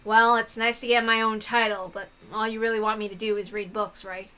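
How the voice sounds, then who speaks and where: A woman voicing a defiant, sarcastic young woman from a visual novel, curt and loud in her delivery. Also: on the high side, little pitch movement, a little fast. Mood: skeptical. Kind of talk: visual novel read-aloud.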